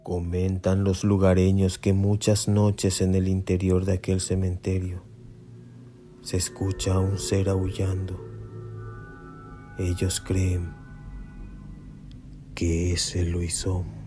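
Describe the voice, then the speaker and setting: Low-voiced male horror narrator over music. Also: monotone, slow, long pauses. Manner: dramatic, suspenseful delivery. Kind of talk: scripted horror story narration. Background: music and night insects.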